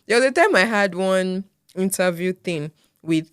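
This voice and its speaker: Calm Nigerian woman, dry close sound. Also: mid-range voice, moderate pace, smooth, dry recording. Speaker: podcast co-host. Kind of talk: casual chat.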